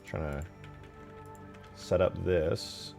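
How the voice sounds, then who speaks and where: A man's voice: sits low, fairly even intonation, very slow, creaky, over music. A man giving casual screen-share commentary as a game modder, thinking aloud as he works. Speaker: game modder showing work in progress.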